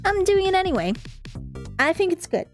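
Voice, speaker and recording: Female game streamer, very high voice, dry sound with soft music behind, narrating and chatting casually. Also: sing-song, moderate pace. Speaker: streamer playing and reading a visual novel. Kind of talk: reading game text aloud with casual asides. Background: faint music.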